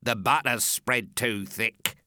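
A man voicing a gruff, unsentimental carpenter in storybook verse, blunt and terse in manner. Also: mid-range voice, slow pace, pushed and bright, pitched below the performer's own voice. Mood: dour, blunt.

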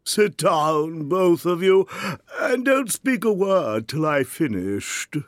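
A man voicing a sorrowful, sobbing male Mock Turtle who speaks slowly and mournfully in a hollow tone. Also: very animated, no echo, clean studio sound. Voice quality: deep hollow tone. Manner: slow, mournful, sighing.

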